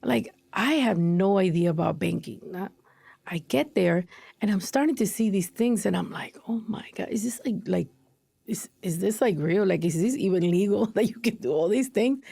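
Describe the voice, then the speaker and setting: A woman's voice: medium pitch, moderate pace, creaky, warm tone, slightly rough, no room sound. A woman from Ecuador, a guest on a podcast, telling her career story in a chatty way and acting out her past reactions. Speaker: podcast guest, compliance analyst.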